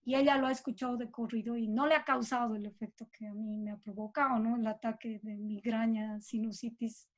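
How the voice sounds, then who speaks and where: High-pitched middle-aged Bolivian woman, reflective. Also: steady tone, fairly quick, hardly any pauses. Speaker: composer being interviewed. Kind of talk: reflective, informal interview talk.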